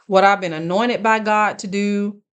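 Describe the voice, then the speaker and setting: A woman in her late thirties giving casual, earnest life advice straight to her viewers, speaking deliberately and checking in with them. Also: medium pitch, fairly even intonation, clear, resonant tone. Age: late 30s. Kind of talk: casual direct-to-camera advice talk.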